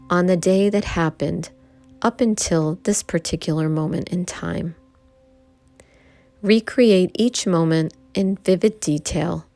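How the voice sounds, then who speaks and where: A woman guiding a yoga nidra meditation, giving gentle instructions in short phrases with pauses between them. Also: lowish voice. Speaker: meditation guide. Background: background music.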